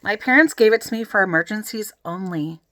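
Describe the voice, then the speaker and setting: A woman's voice: mid-range voice, fairly quick, pushed and bright, no echo, natural voice. A woman voicing a cool, level-headed schoolboy in a children's story, laid-back and matter-of-fact. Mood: reluctant.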